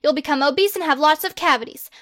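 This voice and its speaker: A woman's voice: sits high, quick pace, a bit bright, dry recording, studio quality, pitched above the performer's own voice. A woman voicing a pompous, self-proclaimed boy wizard hero who makes grandiose, bossy proclamations.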